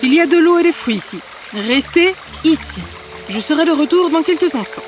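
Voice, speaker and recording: A woman's voice: high-pitched, expressive, brisk, over music. A woman playing a cold, hard mother in a fairy tale cartoon, curt, bitter and commanding. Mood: brisk, deceitful. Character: cold, hard mother abandoning her children.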